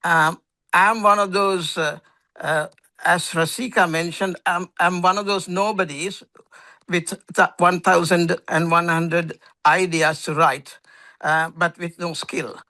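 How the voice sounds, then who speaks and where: A man from the audience puts a polite question, his voice bright and forward. He halts with the odd filler, and the sound is close and dry. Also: high-pitched, fairly even intonation, slow pace, no room sound. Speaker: audience member asking a question. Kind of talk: question from an online meeting.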